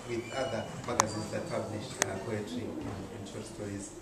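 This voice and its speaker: A male panel moderator with a low voice, picked up from far off in an echoey hall with loud noise behind him. He speaks slowly and measuredly, filling his pauses with hesitant "uh"s. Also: little pitch movement. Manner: slow and measured, with many "uh" pauses. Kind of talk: panel discussion. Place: festival venue indoors.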